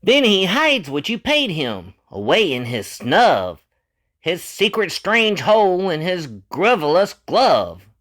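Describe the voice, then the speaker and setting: A man reading a children's picture book aloud in an expressive, theatrical, deliberate manner, lingering on words. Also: sits high. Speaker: storybook reader.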